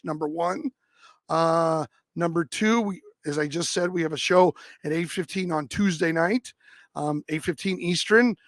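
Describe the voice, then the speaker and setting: Casting: male livestream host, high-pitched and raspy, recorded dry, casual and rambling delivery. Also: a bit bright, no echo. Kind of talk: casual livestream chat and clip commentary.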